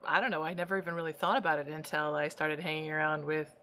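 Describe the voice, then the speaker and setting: A woman's voice: deep voice, flat delivery, fast, pushed and bright, slight background noise. A woman who streams, a podcast guest, chatting casually and laughing often. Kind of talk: casual chat.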